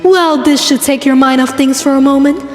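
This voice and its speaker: Woman as a high, fussing mother pony queen. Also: steady tone, moderate pace, natural voice. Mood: doting, breezy. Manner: affectionate, chatty, motherly fussing. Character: doting, brisk regal mother pony queen. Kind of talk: cartoon voice acting in a comic dub. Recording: very echoey, music underneath.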